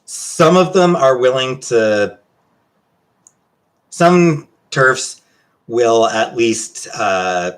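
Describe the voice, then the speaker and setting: A man with a Canadian accent chatting casually on a livestream as he answers questions, halting and hesitant, often trailing off. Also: mid-range voice, expressive, rough recording. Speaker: livestream host.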